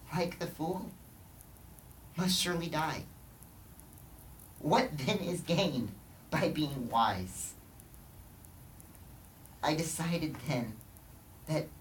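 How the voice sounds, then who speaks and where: A young man playing a weary, broken teacher-king in a theatrical biblical monologue, declaiming with dramatic pauses and emphatic repetition. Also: fairly high pitch, a bit bright. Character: weary, broken old teacher-king lamenting life's emptiness. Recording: distant mic, faint background noise.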